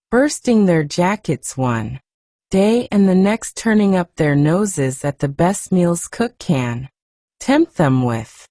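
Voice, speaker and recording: A woman reader performs a plain-spoken housekeeper from a storybook, full of complaint and exasperation. Her voice swings up and down in pitch, with a soft, mellow tone. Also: dry recording, studio quality, heavily acted. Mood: indignant, exasperated. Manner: complaining, dialect-laden.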